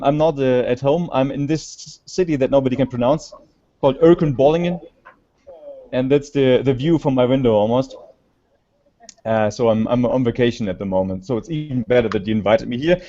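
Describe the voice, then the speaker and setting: Man, german accent, conference talk over a call, halting with fillers, middling pitch, muffled lo-fi audio. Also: warm tone. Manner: halting, many fillers and restarts. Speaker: conference speaker. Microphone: video call.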